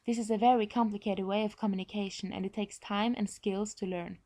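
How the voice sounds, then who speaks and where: A woman's voice: mid-range voice, fairly even intonation, a little fast, mellow. Norwegian-accented woman giving a presentation. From Norway. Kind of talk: prepared informational presentation.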